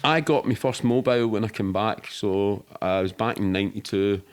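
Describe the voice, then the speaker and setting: A man's voice: fairly low pitch, moderate pace. A middle-aged man with a Glaswegian accent, telling rambling, sweary, matter-of-fact anecdotes in casual interview chat. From Scotland. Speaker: podcast guest.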